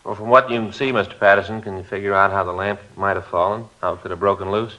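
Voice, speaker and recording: An actor playing a police detective who asks polite, formal questions in a 1950s radio crime drama. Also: male voice, lowish voice, steady tone, quick pace, bright, forceful tone. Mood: polite questioning. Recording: muffled old radio recording.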